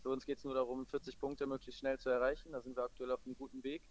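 Fast-talking man, even-toned football coach. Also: mid-range voice. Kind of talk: post-match phone interview.

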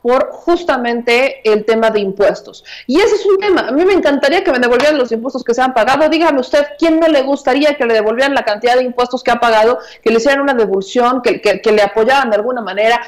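A woman's voice: fairly high pitch, fast talker, hardly any pauses. A woman in her thirties with a Mexican accent giving news commentary from a small room at home, emphatic and conversational, repeating her points. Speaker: political commentator. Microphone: earphone mic.

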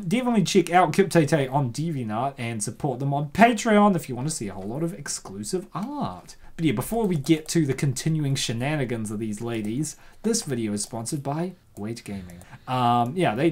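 A woman host with a mid-low speaking voice that swings widely in pitch. She comments casually and comedically, theatrical and excitable. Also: normal speed, few pauses. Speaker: host reacting to a web comic.

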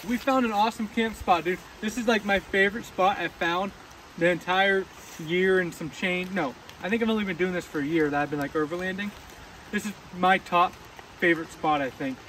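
A man's voice: sits high, fairly quick, fairly bright tone, slight background noise, low-quality recording. A man chatting casually for his camping vlog at an outdoor forest campsite, rambling with lots of "uh" and "like". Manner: laid-back, rambling with many "uh" and "like" fillers. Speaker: overland camping vlogger.

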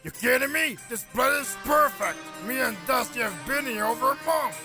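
A man voicing a scruffy, cranky old drifter with rough, folksy speech in a comic dub. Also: extremely high pitch, lively intonation, moderate pace, over music, exaggerated voice. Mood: gruff, dismissive.

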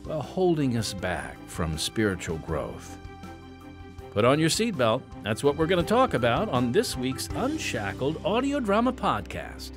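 An American man hosts in a theatrical yet conversational style. His mid-pitched voice swings dramatically up and down over faint music, as he delivers scripted, folksy narration to listeners. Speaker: podcast host.

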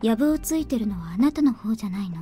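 An actress playing a cold, aloof, youthful-looking genius scientist in a visual novel, cool and detached. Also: female voice, a little high, lively intonation, very fast, mellow, close to an everyday voice. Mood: cool, reproachful. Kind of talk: Japanese visual novel voice acting. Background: game music.

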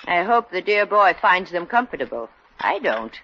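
Wry, animated motherly dame. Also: fairly low pitch, moderate pace, exaggerated voice. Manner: dry, quipping. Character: wry, motherly older woman, quick with quips. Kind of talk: 1940s radio comedy acting. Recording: muffled old radio recording.

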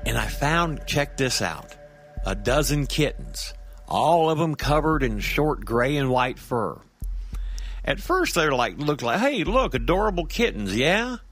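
A man's voice: medium pitch, a bit bright. A man playing a rattled, indignant grandfather in a comic horror audio drama, talking conversationally, stumbling and checking that he is believed. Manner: conversational, stammering, seeking agreement with tag questions. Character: rattled, indignant grandfather phoning in about a monster cat. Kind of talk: first-person horror-comedy monologue for audio drama.

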